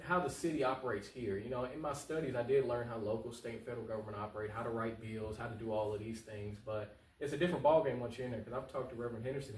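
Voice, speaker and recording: An American man speaks very quickly in an earnest, informal interview style, often repeating phrases to drive points home. His voice is mid-pitched and comes with a roomy echo, as if some way from the microphone. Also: a little mellow. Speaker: political candidate, talk show guest. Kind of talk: conversational interview answers. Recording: roomy echo, distant mic, faint noise.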